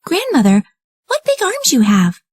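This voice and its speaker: A woman voicing a sweet, polite little girl in a storybook reading, with a high, eager voice. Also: wildly swooping pitch, unhurried, heavily acted. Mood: wondering.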